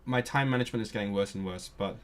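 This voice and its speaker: A man's voice: fairly low pitch, quick pace. Eager, stumbling male streamer. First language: Cantonese. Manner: eager and nerdy, often stumbling and restarting words. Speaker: streamer and language learner. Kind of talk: casual live-stream chat.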